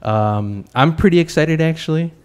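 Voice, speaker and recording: A man's voice: a little high, expressive, normal speed, clean tone. Eager stumbling man hosting a podcast. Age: 30s. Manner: eager, stumbles and repeats words. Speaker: podcast host. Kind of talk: casual interview chat. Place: home.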